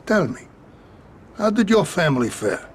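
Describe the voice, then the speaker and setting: An actor playing a proud, older Sicilian mafia don, speaking slowly and formally in a game cutscene. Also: male voice, high voice, big pitch swings, slight background noise. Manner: slow, formal, dignified.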